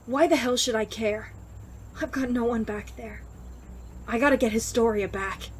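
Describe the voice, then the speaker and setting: A very high-pitched actress playing a defiant young woman in an audio drama. She is bitter and single-minded, with scornful, sarcastic bite. Faint noise sits behind the voice. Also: a little slow, close to an everyday voice. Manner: scornful, foul-mouthed, biting. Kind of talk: audio drama acting, anime fan parody.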